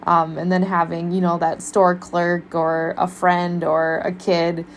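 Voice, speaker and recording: A woman hosting her own parenting podcast, talking casually with frequent soft laughs and ums. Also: on the low side, a little slow, slight background noise. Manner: relaxed, laughs often, many ums. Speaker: podcast host. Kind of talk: casual solo podcast talk.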